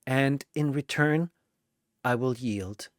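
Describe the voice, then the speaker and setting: A narrator voicing a quiet, resolute little girl who speaks in brief, earnest statements in an audio story. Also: medium pitch, slow pace, no echo, studio quality, lightly acted.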